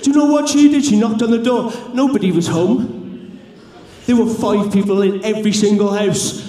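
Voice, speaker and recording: A man with a South Welsh accent from South Wales, cutting a fiery wrestling promo to a live crowd. His speech builds to furious shouting. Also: normal speed. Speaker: pro wrestler. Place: large arena with a live crowd. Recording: booming echo from a big hall, crowd noise.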